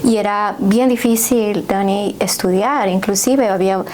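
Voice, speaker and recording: A woman's voice: mid-range voice, unhurried, darkish tone, rough recording. Middle-aged woman, Peruvian accent, podcast guest, conversational storytelling, mid-range voice, noticeable ambient noise. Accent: Peruvian Spanish. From Lima, Peru. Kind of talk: conversational personal storytelling in an interview. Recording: clear ambient background noise.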